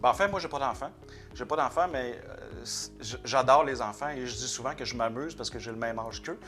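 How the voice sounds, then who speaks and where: A man's voice: medium pitch, a little fast, a bit bright, soft background music. A man with a Québécois French accent, a company president explaining his work in a casual interview, matter-of-fact. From Quebec.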